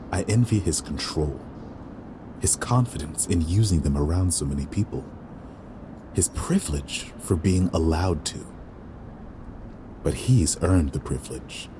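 A man's voice: low voice, noisy background. A man playing a guilt-ridden, self-doubting young waiter with secret powers in an audio drama, brooding and hesitant. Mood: envious, self-reproaching. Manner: brooding, rueful, hesitant with others. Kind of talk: audio drama acting with inner monologue.

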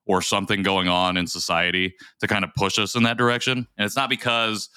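A man's voice: on the low side, flat delivery, a little fast, pushed and bright, smooth, no room sound, clean studio sound. A man hosting a podcast, talking in a reflective, supportive way in an earnest conversational interview. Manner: reflective, supportive, frequent "like" filler. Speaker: podcast host.

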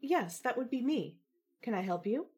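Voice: medium pitch, animated, moderate pace, darkish tone, slightly rough, pitched above the performer's own voice. A narrator voicing a poised, snarky young woman with a formal, dryly polite manner in an audio story.

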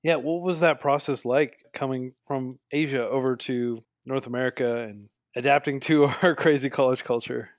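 A man's voice: medium pitch, fairly even intonation, moderate pace, hardly any pauses, no room sound, studio quality. A man hosting a casual podcast interview, plainly recapping and rephrasing what he hears and asking questions.

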